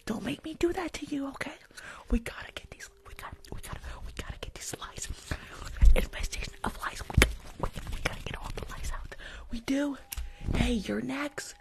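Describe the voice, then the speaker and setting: A woman's voice: sits high, normal speed, creaky. A woman playing a rude, unqualified school nurse in an ASMR roleplay, bossy and mock-reassuring, repeating herself. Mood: bossy, teasing. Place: small indoor room.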